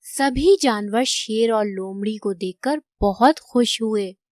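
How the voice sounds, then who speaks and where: A woman's voice: a little high, slow pace, darkish tone, no room sound, clean studio sound. A woman narrating a scripted children's moral story.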